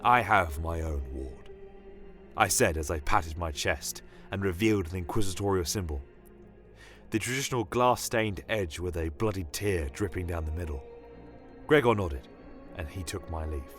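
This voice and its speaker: A man telling a first-person fantasy story in measured, formal, scripted narration. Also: low voice. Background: music.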